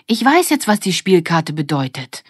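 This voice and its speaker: A woman voicing an eager, scheming young girl in a children's audiobook, excited and emphatic. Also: fairly low pitch, moderate pace, breathy edge, no room sound, clean studio sound.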